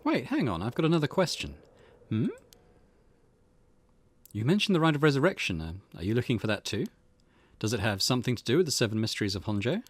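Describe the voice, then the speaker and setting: Earnest, polite young man, read by a man, animated intonation. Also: medium pitch, quick pace. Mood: curious. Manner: earnest, considerate. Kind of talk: visual novel character read-aloud.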